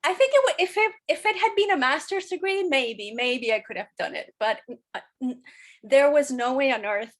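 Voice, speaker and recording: A woman's voice: high-pitched, lively intonation, moderate pace. A woman from Chile in her thirties, speaking as a podcast guest. She talks candidly and at length, often restarting with "you know". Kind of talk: casual interview conversation.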